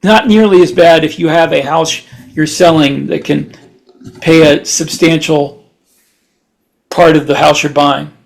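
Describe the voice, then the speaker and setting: A man with a somewhat high-pitched voice, talking casually as a podcast co-host. He tells things from his own experience in an informal, conversational style. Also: fairly even intonation, a little slow, vocal fry, long pauses.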